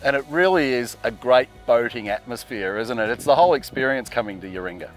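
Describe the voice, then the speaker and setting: A hearty Australian man in his sixties hosts a boating program, chatting on location through a lapel mic. His voice sits in a middle register, and soft music plays underneath. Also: medium pitch, expressive, a little fast. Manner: hearty, upbeat, leading questions ending in "isn't it?". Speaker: TV boating show host. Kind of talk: friendly on-location interview. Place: boat workshop and outdoor marina. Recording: faint background music.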